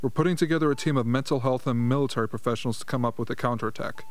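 A composed official in charge, acted by a man in a radio drama. He sounds reassuring and speaks at a medium pitch. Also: very fast, lightly acted. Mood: measured. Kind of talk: amateur radio play acting.

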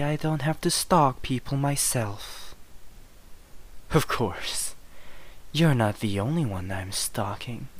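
A man playing a possessive, doting demon lover in an ASMR roleplay, with a teasing, softly menacing manner. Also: mid-range voice. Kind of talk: ASMR roleplay, intimate second-person acting.

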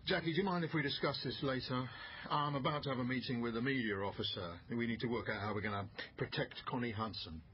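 Radio drama: actor as male police chief, harried, brisk, middle register, dulled sound with slight noise. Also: mid-range voice, lightly acted. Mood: brisk, evasive. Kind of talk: naturalistic British radio drama acting. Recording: slightly dull, faintly noisy recording.

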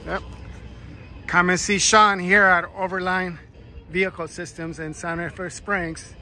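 Excited man showing off his new gear. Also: high-pitched, measured pace. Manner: enthusiastic, promotional. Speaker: vlogger. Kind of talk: casual vlog walkthrough. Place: outdoors at a warehouse lot and a driveway. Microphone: phone camera held by speaker.

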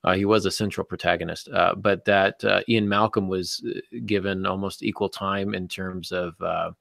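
A middle-aged man hosting a casual discussion about writing, explaining things in a measured way. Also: deep voice, level tone, vocal fry, a bit bright, no echo, studio quality. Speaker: podcast host and novelist. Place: indoor office, on a video call.

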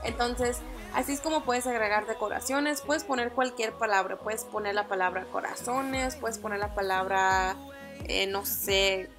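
A woman's voice: on the high side, moderate pace, over music. A young woman whose first language is English, chatting upbeat through a screen-recorded tutorial with small fillers and restarts. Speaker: beauty vlogger.

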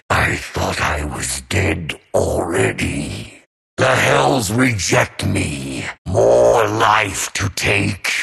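An ancient, bitter zombie warrior from a video game, speaks in a very low, extremely gravelly rasp. His guttural delivery is very slow and drawn out, full of theatrical menace. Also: a bit bright, exaggerated voice. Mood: bitter, anguished. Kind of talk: video game character voice lines.

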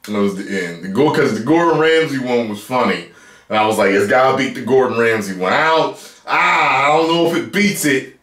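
An American man in his thirties giving casual, playful reaction commentary as a video host, loud and repeating his phrases. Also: a little high. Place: small room at home, indoors. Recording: roomy, echoey home recording.

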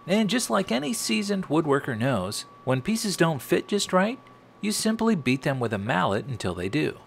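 A man's voice: a little high, sing-song, moderate pace, creaky, a little hiss or hum. A middle-aged American man narrating a scripted woodworking tutorial in a wry, tongue-in-cheek manner. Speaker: host of a woodworking channel.

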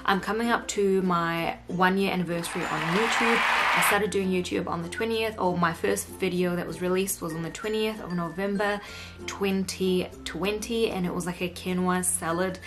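A woman's voice: lowish voice, fairly even intonation, moderate pace. A woman in her late twenties with a New Zealand accent, chatting casually to camera as a vlogger. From Egypt, moved to New Zealand aged nine. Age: late 20s. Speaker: YouTuber hosting a vlog. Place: indoor room at home. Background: background music.

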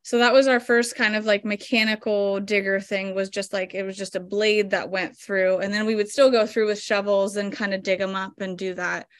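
A woman's voice: medium pitch, steady tone, normal speed, few pauses, no echo, clean studio sound. A woman in her thirties, a flower farmer guest explaining her work step by step with lots of fillers, over a video call from home. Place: home room indoors. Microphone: video call webcam.

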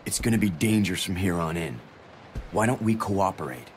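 Deep-voiced, cocky young tough guy. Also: animated, a little fast, slight background noise. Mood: wry, mocking. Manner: sardonic, brusque, mocking. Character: cocky, cynical young male escaped convict, tough. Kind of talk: video game cutscene acting. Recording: rumbling train ambience behind.

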